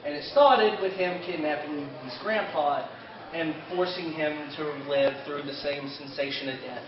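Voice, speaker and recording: A man with a fairly high voice gives an informal fan panel talk. He is picked up from a distance in a roomy space, with a crowd clearly present around him. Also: expressive, moderate pace. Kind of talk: informal panel talk about an anime, partly in character. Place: convention panel room, indoors. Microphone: distant camera microphone. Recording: roomy, dull, distant camera with audience.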